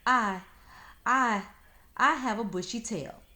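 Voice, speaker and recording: A woman's voice: on the high side, takes it slowly, bright, forceful tone, voice pushed up. A woman voicing a proud, pompous male storybook fox who speaks formally and stammers and pleads when rattled. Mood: pleading, flustered.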